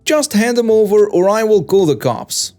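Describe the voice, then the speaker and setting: Man doing a pushy entitled mom, high and pinched, demanding. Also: expressive, moderate pace, dark tone, faint music behind. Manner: insistent, demanding.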